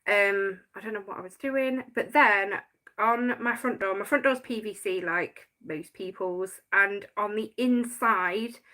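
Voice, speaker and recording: A woman's voice: medium pitch, a little slow, sharp tone. A woman, chatting casually on a live stream about her notes and laughing often. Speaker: paranormal channel host.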